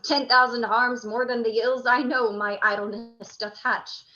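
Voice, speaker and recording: A woman as Antony, bright forceful grave commander. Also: fairly high pitch, flat delivery, measured pace, lo-fi sound. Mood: regretful, resolute. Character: Antony, grown male commander torn between love and duty. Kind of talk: theatrical Shakespearean radio play acting.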